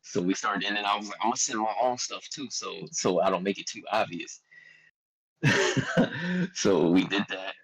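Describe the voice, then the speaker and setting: A man's voice: lowish voice, lively intonation, unhurried, a bit bright, breathy edge, rough recording. A young man with a Philadelphia accent telling a story in a casual chat, loose and slangy, often quoting himself. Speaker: guest artist.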